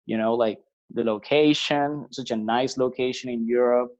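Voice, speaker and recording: A man from Venezuela, a musician guest on a podcast, talking in a relaxed, hesitant way with many fillers and restarts. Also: mid-range voice, moderate pace. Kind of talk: casual conversational interview. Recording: slightly dull lo-fi sound.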